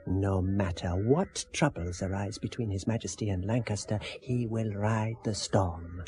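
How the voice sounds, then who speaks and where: An actor playing a sly, worldly, gossiping medieval monk in a radio drama. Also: male voice, fairly low pitch, a little slow, dark tone, slight background noise, natural voice. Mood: gossipy. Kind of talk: 1970s radio drama acting.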